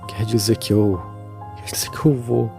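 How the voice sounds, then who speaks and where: A man's voice: lowish voice, fairly even intonation, normal speed, vocal fry, over music. A Brazilian man playing a troubled adult son who questions his dead father, in a horror audio drama. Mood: shaken.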